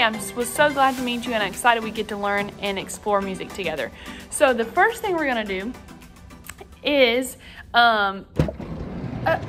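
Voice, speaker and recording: A woman music teacher speaking expressively to young children in an online lesson and story read-aloud. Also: high-pitched.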